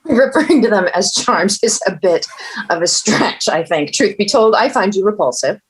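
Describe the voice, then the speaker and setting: An actress with an American accent playing a dry, no-nonsense police detective in a drama table read, blunt and sarcastic. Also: female voice, medium pitch, expressive, moderate pace, breathy edge, low-quality recording. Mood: deadpan. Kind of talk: acted script table read.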